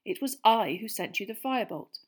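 Lady reading as a runaway godfather's letter, earnest, bit louder than her narration. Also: mid-range voice, animated, brisk, lightly acted. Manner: earnest, letter read aloud. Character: Sirius, fugitive godfather writing a caring letter.

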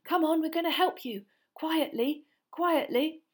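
A woman's voice: sits high, unhurried, pitched above the performer's own voice. A woman doing Harry, an urgent teenage boy.

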